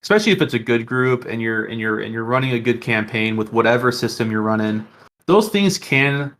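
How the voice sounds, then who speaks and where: A man with a medium-pitched voice co-hosts a casual podcast. He thinks out loud earnestly, feeling his way through each sentence in a halting, reflective manner. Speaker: podcast co-host.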